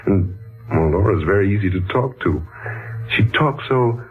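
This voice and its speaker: A polite mysterious american man in a 40s radio play, lowish lively voice, narrow muffled sound. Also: normal speed, slightly rough. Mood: wondering, hesitant. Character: courteous, enigmatic man haunted by vague recognition. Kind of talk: 1940s radio acting. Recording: muffled 1940s radio recording, music behind.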